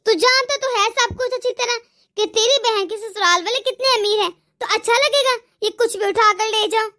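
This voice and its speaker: A woman voicing a scolding, sarcastic, demanding mother-in-law in an animated family drama. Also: very high-pitched, moderate pace. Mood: insistent. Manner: nagging, sarcastic. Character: scolding, demanding mother-in-law, fiercely partial to her daughter.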